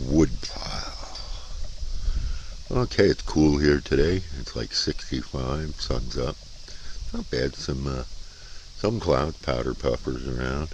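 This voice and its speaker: Very deep-voiced man. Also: noisy background. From United States. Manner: rambling, folksy, hesitant pauses. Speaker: amateur CB radio operator and vlogger. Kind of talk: casual vlog chat and radio contact calls.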